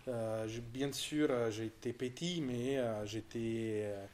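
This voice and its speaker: A man in his forties from southern Italy, with an Italian accent, talking casually about an art exhibition and often pausing to search for French words. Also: mid-range voice, darkish tone, slight background noise. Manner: hesitant, searching for words, many restarts. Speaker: visitor discussing an exhibition. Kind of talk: casual conversation.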